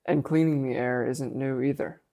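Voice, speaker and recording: A male reporter narrates a news story in a flat, measured voice. A gravelly edge runs through his reading. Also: mid-range voice. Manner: even, measured delivery.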